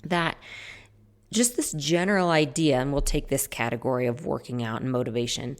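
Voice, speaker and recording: Low-voiced woman in her forties, chatty. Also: normal speed. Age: 40s. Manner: chatty, rambling, corrects herself mid-sentence. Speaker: podcast host. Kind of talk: casual solo podcast talk.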